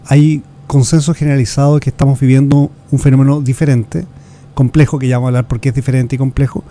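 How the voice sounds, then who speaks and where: Fast-talking Chilean man, very dark voice. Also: a little high. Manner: earnest, lecturing, restarts words, uses rhetorical questions. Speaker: guest expert. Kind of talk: explanatory interview talk.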